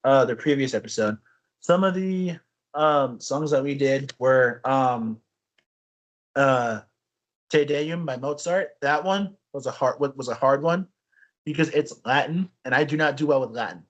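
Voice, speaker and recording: A young man co-hosting a casual podcast, telling stories in a rambling, animated way with frequent restarts. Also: medium pitch, a little slow, muffled recording. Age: young adult. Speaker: podcast co-host. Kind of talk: casual chat.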